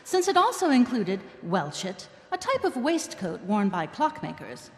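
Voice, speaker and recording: A middle-aged woman playing a dry, measured narrator, reading scripted narration in live radio theatre. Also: mid-range voice, huge pitch swings. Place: stage in a hall. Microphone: stand microphone. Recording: echoey live stage hall.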